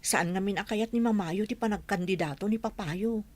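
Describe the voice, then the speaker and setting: Radio drama support: woman as household maid, middle pitch, respectful, hesitant, a bit gossipy. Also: normal speed, darkish tone, lightly acted. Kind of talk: Ilocano radio drama acting.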